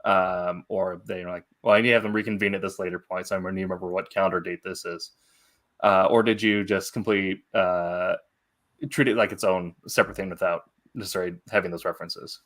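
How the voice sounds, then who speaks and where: A man's voice: sits low, fairly even intonation, fast talker, fairly bright tone. A man hosting an interview in a casual, conversational way, asking rambling, long-winded questions. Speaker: interview host.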